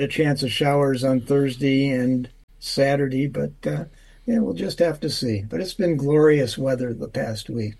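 A man giving a casual weather forecast on the radio, relaxed and unhurried, with many filler sounds. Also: mid-range voice, gravelly. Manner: relaxed, drawn out with lots of uhs. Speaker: weather forecaster and humor guest.

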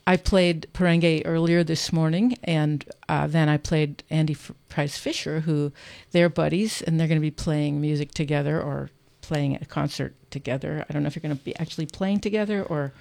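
Woman radio host chatting casually, bit of fry in her voice, scattered and searching for words, upbeat. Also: sits low, fairly even intonation, normal speed, few pauses, darkish tone. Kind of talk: casual unscripted on-air chat. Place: radio studio.

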